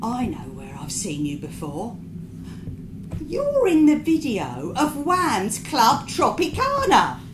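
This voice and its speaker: A woman reading a comic monologue live as a naive, chatty narrator, confiding and dropping deadpan asides. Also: fairly high pitch, slow pace. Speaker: writer reading her own comic short story. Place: indoor performance venue. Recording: echoey live venue recording with background noise.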